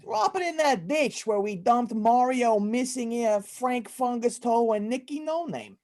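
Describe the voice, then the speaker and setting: An irritable Italian-American mob boss acted by a woman for a radio comedy play. The voice is on the high side and swings a lot in pitch, with bossy, exasperated wiseguy talk. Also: expressive, a little slow, warm tone. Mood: curt. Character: irritable tough Italian-American male mob boss, secret pizza lover. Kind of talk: amateur old-time radio comedy play.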